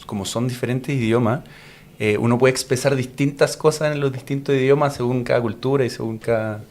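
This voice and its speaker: A man with a Chilean accent interviewing a guest, chatty and friendly, with many fillers and quick affirmations. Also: mid-range voice, steady tone, a little mellow. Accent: Chilean Spanish. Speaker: interviewer host. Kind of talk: casual interview conversation.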